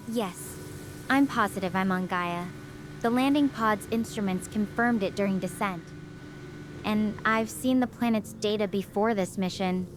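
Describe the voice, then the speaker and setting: A text-to-speech woman's voice playing a stranded young researcher who gives earnest, measured explanations. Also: mid-range voice, slight background noise, close to an everyday voice. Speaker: astrophysics researcher.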